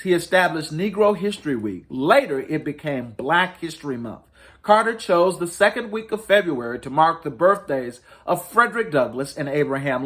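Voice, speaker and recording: Man reading a children's story, very animated intonation. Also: a little high. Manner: deliberate, emphatic storytelling with dramatic rises and falls. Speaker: host reading stories to children.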